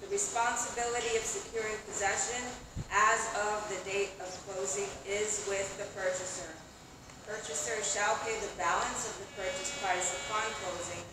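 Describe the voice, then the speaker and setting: A young adult woman, a foreclosure auction commissioner, reading legal sale terms aloud in a formal, measured way outdoors in a covered plaza. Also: mid-range voice, steady tone, background noise. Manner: formal, measured, reads from papers. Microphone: far camera on a tripod. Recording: echoey distant outdoor camera audio.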